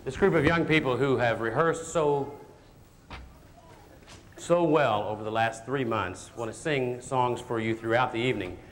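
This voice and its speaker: Reverberant hall, faint noise, male stage host, mid-pitched voice, announcing between songs. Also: normal speed. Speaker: host of a school stage radio show. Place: large indoor hall, live performance. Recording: noisy recording in a reverberant hall.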